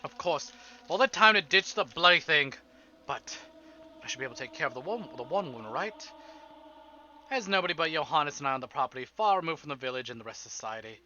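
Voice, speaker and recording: A man's voice: a little high, animated, fast talker, pushed and bright, muffled recording, a little hiss or hum. A man reading dramatic first-person narration aloud from a story game as a streamer. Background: game music.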